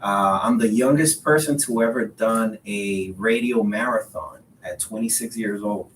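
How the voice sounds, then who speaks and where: Low-voiced man, echoey and distant. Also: a little slow. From Dominican Republic. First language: Spanish. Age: 30s. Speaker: podcast guest, realtor. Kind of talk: casual interview conversation. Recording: roomy echo, distant mic, faint rumbling noise.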